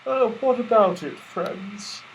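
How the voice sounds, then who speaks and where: A man's voice: sits high, slow pace, a little hiss or hum, voice pushed up, rough recording. A man voicing a kindly, sentimental toy rabbit in a children's storybook, gushing and a little hesitant. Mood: eager, helpful.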